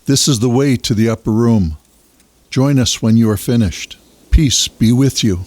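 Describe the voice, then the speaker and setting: An actor playing a gentle, reflective religious scholar in an audio drama, with a grave and measured delivery. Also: male voice, mid-range voice, no room sound, lightly acted. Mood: calm. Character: Nicodemus, gentle reflective male Sanhedrin scholar.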